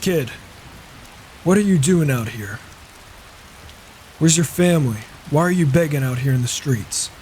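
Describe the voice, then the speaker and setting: A man roleplaying a reclusive, self-assured mercenary warrior in a video game, talking in a measured, musing way. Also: on the high side, expressive, a little mellow. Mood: measured, concerned. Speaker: roleplaying player. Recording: hissy microphone with rain and thunder. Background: rain and thunder.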